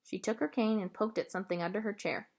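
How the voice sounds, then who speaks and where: A woman reading a children's novel aloud as an expressive storybook narrator. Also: medium pitch, quick pace, gravelly. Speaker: storybook reader.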